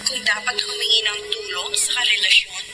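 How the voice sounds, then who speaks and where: A woman's voice: fairly high pitch, steady tone, fairly quick, loud music behind the voice, natural voice. An actress playing a devoted, hurt young girlfriend in a radio drama, earnest and imploring. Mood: concerned, hurt.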